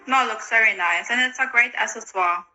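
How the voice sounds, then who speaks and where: A woman's voice: a little high, brisk, sharp tone, faint music behind, rough recording. A woman hosting a casual live video call, giving short, appreciative, complimentary replies. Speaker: interviewer, host. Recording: live call with faint noise.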